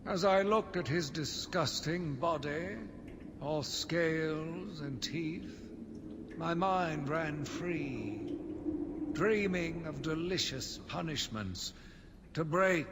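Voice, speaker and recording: A sinister zealot's slow, theatrical monologue rolls through long reverb over a noisy ambient bed. this self-righteous game villain, swinging widely in pitch as he broods. Every phrase is weighed with fanatical disgust and conviction. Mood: loathing, obsessive. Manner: theatrical, deliberate, brooding delivery with dramatic emphasis. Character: sinister, zealous, self-righteous madman obsessed with punishing monsters. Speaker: voice actor playing a villain narrator. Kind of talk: dramatic scripted monologue, audio journal from a video game.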